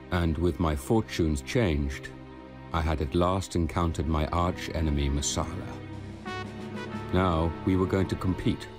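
A young, wronged soldier set on revenge in a radio drama. His voice is very low, and he speaks with measured, earnest formality over clear music. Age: young adult. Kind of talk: radio drama acting and first-person narration.

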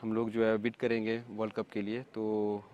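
A man from India, a paragliding pilot, answering interview questions in a relaxed, halting way with many fillers. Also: on the low side, dull audio, slight background noise.

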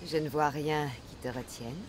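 Une actrice de doublage jouant une guerrière mercenaire posée et pince-sans-rire dans un jeu vidéo. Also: female voice, sits low, fairly even intonation, normal speed, a little hiss or hum, lightly acted. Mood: teasing. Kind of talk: dubbed video game acting.

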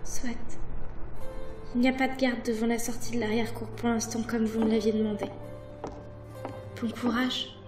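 A woman's voice: on the high side, level tone, moderate pace, pauses often, over music, close to an everyday voice. A woman playing a devoted, anxious elf servant in a fantasy audio drama, earnest, deferential and pleading. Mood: worried, pleading. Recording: roomy echo, slightly distant microphone.

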